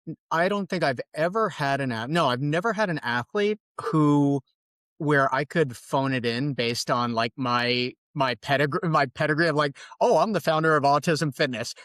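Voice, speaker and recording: A man's voice: on the high side, no echo, clean studio sound. A man from New York with a New York accent, talking as a podcast guest about coaching, thoughtful and full of restarts. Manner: thoughtful, restarts often, animated. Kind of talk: casual conversational interview.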